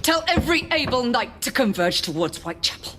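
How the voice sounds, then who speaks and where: A woman's voice: fairly high pitch, very animated, fairly quick, background noise. A woman playing a commanding, vengeful noblewoman knight in a game drama, with formal and imperious delivery. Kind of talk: dramatic video game cutscene acting.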